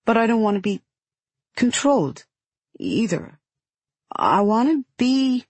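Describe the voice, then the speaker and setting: Woman voicing a small-voiced, earnest young girl, high-pitched. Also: very animated, slightly rough, no echo, exaggerated voice. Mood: timid, daring. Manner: hesitant, halting, groping for words. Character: timid, defiant young girl, earnest and frustrated. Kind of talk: audiobook character voice.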